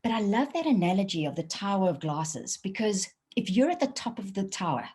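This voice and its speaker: A woman's voice: medium pitch, brisk, slightly rough. A woman with a South African accent, giving an online wellbeing talk and telling personal stories with light laughs. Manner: animated storytelling with light laughs. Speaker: wellbeing speaker. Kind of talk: webinar talk. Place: home office.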